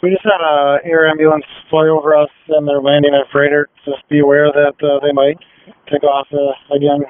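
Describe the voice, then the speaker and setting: A man's voice: a little high, monotone, a little fast, clean tone, dry recording. A male police team member giving brief, procedural reports over a thin, telephone-like two-way radio. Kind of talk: terse police radio traffic.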